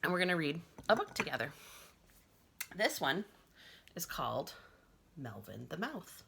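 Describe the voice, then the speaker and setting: A woman's voice: deep voice, unhurried, creaky, slight background noise. A woman librarian talking casually to children and reading a picture book aloud, expressively acting out sounds. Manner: expressive, acts out sounds and lines. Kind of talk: friendly casual talk and animated picture-book read-aloud. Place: basement at home.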